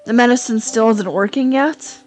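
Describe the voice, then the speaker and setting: A woman voicing a calm, gentle young girl speaking softly and reassuringly. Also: on the high side, moderate pace, close to an everyday voice, poor audio. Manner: soft, reassuring.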